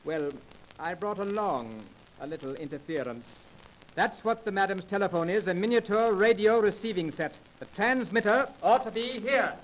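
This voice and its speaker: A courteous fraud-busting professor played by a man in 1930s radio-drama style. His voice is high for a man, precise and explanatory, on a slightly noisy old broadcast disc. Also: normal speed, close to an everyday voice. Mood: assured.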